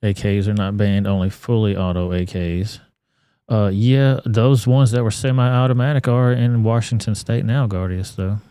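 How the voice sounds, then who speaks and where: A man's voice: on the low side, fairly even intonation, normal speed, mellow, clean tone, no echo. A man hosting an online talk show, giving blunt, profane commentary on clips. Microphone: studio mic.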